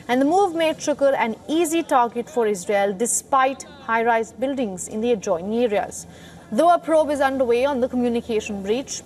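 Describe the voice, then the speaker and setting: A woman's voice: a little high, a little mellow. A woman reporter reading a scripted news report in a narrator's delivery.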